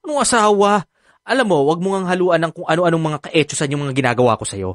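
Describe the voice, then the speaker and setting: Actor as a sassy, camp, higher-voiced Filipino guy. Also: animated, quick pace, dry recording, clean studio sound, lightly acted. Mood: defensive, caring. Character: sassy, camp, reluctant but caring male best friend. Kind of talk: Filipino radio drama acting.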